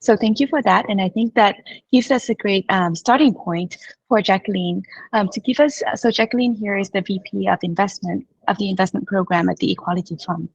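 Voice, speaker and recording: A woman's voice: mid-range voice, brisk, creaky, breathy edge, no echo. A woman moderating an online webinar over a video call, talking fluently with filler words. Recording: dull dry video call audio.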